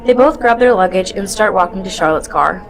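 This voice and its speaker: Narrator for a student radio play: woman, mid-pitched, scripted read over prominent music. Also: brisk. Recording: music playing clearly behind the voice.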